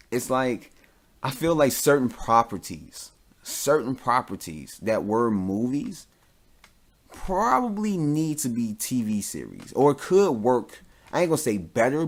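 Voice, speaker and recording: A man hosts a casual solo podcast in a medium-pitched voice. He talks informally and with plenty of slang, giving his opinions as loose, conversational commentary. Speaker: podcast host.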